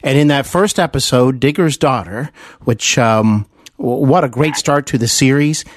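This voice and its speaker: A man's voice: medium pitch, moderate pace, no echo, studio quality. A man from the American Midwest hosting a conversational podcast interview, appreciative and a little hesitant in his phrasing. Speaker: podcast host.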